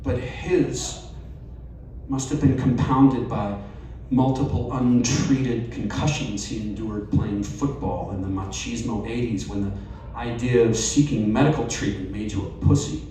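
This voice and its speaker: A man reading a personal essay aloud at a live storytelling night, measured and wry. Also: medium pitch, mellow, recorded from a distance, a little hiss or hum. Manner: slow, measured delivery with wry asides. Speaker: reader at a live storytelling event. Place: bar or event venue, live audience. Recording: very echoey room, voice off-mic, faint ambience.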